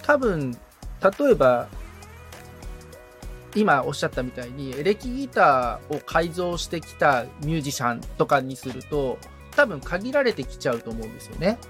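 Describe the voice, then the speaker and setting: Guy assistant on a podcast, thinks out loud, agrees a lot, up and down intonation, soft music under. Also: a little high, big pitch swings, moderate pace. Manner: attentive, frequent murmured agreement, thinks aloud. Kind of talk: casual consultation, asking questions.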